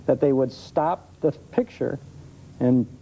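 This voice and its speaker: Mellow, enthusiastic man in interview. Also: medium pitch, takes it slowly, creaky, low-quality recording. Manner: enthusiastic storytelling. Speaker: guest expert on old-time radio. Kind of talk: conversational interview. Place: television studio. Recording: old TV tape, faint noise.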